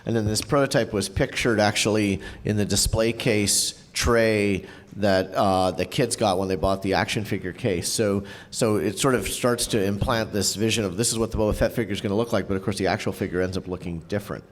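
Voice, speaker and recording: A man co-presenting at a convention panel, talking casually with halting restarts and fillers. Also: fairly low pitch, steady tone. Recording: reverberant hall.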